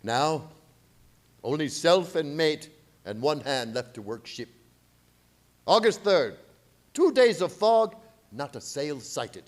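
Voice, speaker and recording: Grave ship's captain with a high, swooping voice played by a man. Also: big pitch swings, slow pace, long pauses. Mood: haunted, fearful. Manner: terse, telegraphic, dramatic delivery. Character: grave, fearful foreign ship's captain reading his log. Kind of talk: radio play acting, clipped log entries.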